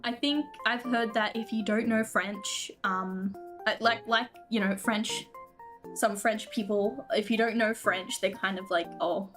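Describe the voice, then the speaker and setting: A woman's voice: medium pitch, steady tone, unhurried, fairly bright tone, soft background music. A woman with an Australian accent chatting casually with her viewers while streaming a game, laughing often.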